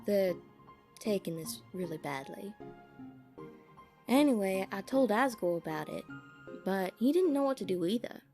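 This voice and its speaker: A woman's voice: mid-range voice, animated, unhurried, long pauses, a little mellow, soft background music. A woman playing a worried, motherly monster townswoman in an audio drama, speaking informally and a little hesitantly. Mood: troubled.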